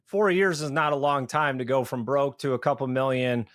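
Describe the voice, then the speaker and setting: A man hosting a podcast interview, introducing guests in a measured, deliberate way with "uh" fillers. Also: medium pitch, a bit bright, no echo. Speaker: podcast host.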